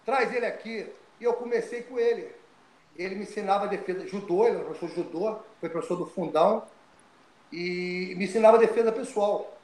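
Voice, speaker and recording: Animated older Brazilian man with a higher voice. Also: a little fast, roomy sound, poor audio. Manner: animated, repeats words and restarts. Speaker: guest, retired fighter. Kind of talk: storytelling interview.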